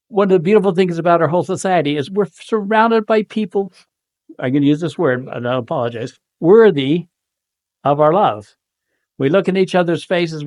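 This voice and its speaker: Man, fairly high voice, earnest devotional talk, emphatic, close dry sound. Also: darkish tone, no room sound. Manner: earnest, emphatic, quotes scripture with rising fervor. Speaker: devotional panel speaker.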